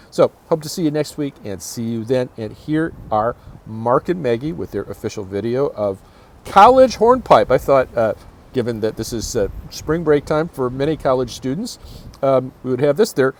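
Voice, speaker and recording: A man's voice: on the high side, a little mellow. A man hosting a music festival, making casual, unscripted announcements in a rambling, enthusiastic way. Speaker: festival host.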